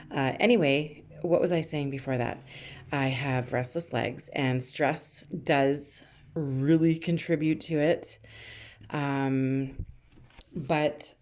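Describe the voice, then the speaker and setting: A woman in her thirties from Canada, chatting casually and self-deprecatingly with a soft, soothing voice while she paints. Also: very deep voice. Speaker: rock painting channel host.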